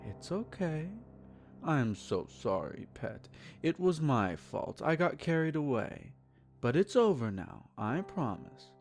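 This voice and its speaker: A possessive vampire lord , acted by a man, speaks intimately to the listener in a roleplay drama. He is formal and unhurried, doting with a condescending, menacing edge. His slightly high male voice rises and falls widely over soft music. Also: very animated, exaggerated voice. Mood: soothing, apologetic. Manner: formal, measured, soothing and condescending.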